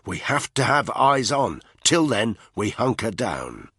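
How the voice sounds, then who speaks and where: A man's voice: lowish voice, sing-song, a little slow, a bit bright, breathy edge, dry recording, clean studio sound, heavily acted. A man voicing a stubborn, worn-out male soldier who answers in short, clipped lines, in an audiobook reading. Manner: terse, clipped, determined.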